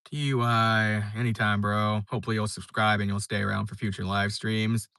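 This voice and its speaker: A man's voice: lowish voice, little pitch movement, moderate pace, gravelly, bright, forceful tone, clear, resonant tone, no echo, clean studio sound. A young man live streaming, chatting casually and reading viewer comments, rambling with lots of fillers and restarts. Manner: laid-back, rambling, many fillers and restarts.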